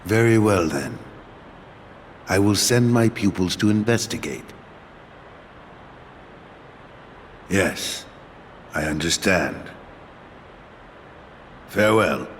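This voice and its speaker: A man playing a stern but caring middle-aged master in a fantasy game cutscene, speaking in formal, solemn, measured phrases. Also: sits low, lively intonation, slight background noise. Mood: grave, resigned. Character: stern, caring older master of young warriors. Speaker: mentor and master. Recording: music loud behind the voice.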